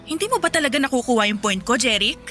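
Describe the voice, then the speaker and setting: Bright-voiced, weary Filipina girlfriend. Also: fairly high pitch, lively intonation, quick pace, faint music behind. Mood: exasperated, firm. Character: weary, evasive young woman pulling away from her boyfriend. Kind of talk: Filipino radio drama acting.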